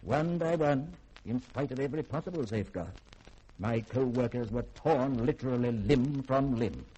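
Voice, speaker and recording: An actor playing a learned, secretive middle-aged scientist in a 1950s radio drama, speaking in formal, polished, theatrical sentences with a husky edge. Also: male voice, mid-range voice, moderate pace, dark tone, close to an everyday voice, low-quality recording. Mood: grave, ominous.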